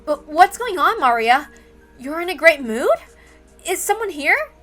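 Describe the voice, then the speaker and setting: Woman acting a high-voiced, fond young mother. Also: big pitch swings, measured pace, fairly bright tone, faint music behind, exaggerated voice. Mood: surprised. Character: tired mother, surprised and fondly indulgent to her child.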